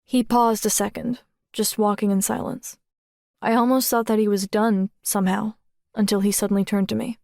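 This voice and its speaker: A woman playing a thoughtful teenage girl who narrates her own story in a measured, reflective audiobook style. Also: medium pitch, level tone, gravelly, a little mellow, no echo, studio quality, lightly acted. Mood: reflective, reserved. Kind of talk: first-person audiobook narration.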